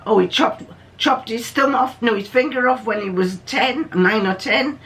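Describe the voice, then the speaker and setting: An older person hosts in a deep voice. The talk is casual and rambling, drifting off into asides and coming back in a plain, matter-of-fact way. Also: moderate pace, a bit bright. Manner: chatty, digressive, matter-of-fact. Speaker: video host.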